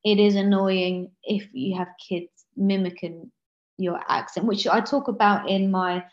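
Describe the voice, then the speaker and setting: A woman's voice: mid-range voice, steady tone, measured pace. A woman, a guest author in a casual interview, talking earnestly and correcting herself often. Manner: earnest, many restarts and self-corrections. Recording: slightly dull lo-fi audio.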